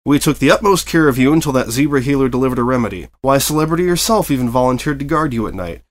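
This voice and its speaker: A man voicing a brisk, bossy female unicorn newspaper editor in a storybook reading, firm and commanding. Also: mid-range voice, expressive, lightly acted. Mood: defensive. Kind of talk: storybook character voice.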